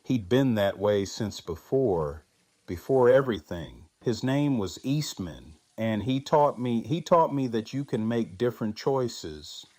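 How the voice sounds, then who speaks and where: A man playing a grieving, reflective widowed survivor in an audio drama, speaking in a halting, subdued manner. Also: medium pitch, expressive, darkish tone, no echo. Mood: reflective storytelling. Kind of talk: fan audio drama acting.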